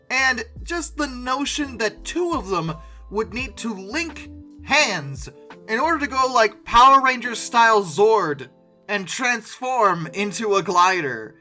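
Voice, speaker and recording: An incredulous, sarcastic host giving casual reaction commentary, with emphatic delivery and dramatic pauses. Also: mid-range voice, animated, bright, forceful tone. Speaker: host of a paleoart reaction video. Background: music.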